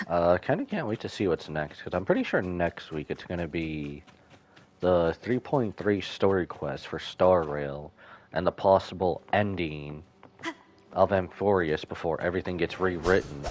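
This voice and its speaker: A man's voice: sits low, animated, normal speed. A man giving casual, slangy live commentary as he streams a video game.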